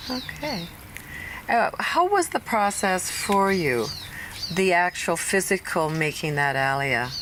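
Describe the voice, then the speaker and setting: A woman's voice: on the low side, big pitch swings, takes it slowly. Encouraging woman interviewer, noisy outdoors. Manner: encouraging, many short affirmations. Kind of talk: friendly interview questions. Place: outdoors in a garden.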